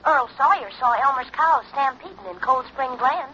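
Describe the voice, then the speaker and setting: On a tinny, faintly hissing old radio phone line, a country switchboard operator. Her voice is high and sharp. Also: moderate pace. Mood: matter-of-fact. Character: small-town female telephone switchboard operator. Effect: telephone filter. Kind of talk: 1940s radio drama acting.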